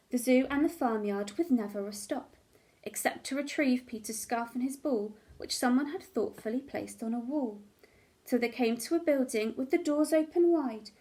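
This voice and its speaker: A woman with a high voice reads a picture book aloud as a teacher would to young children. Her delivery is dramatic and expressive, shaped for small listeners. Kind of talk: expressive storybook narration.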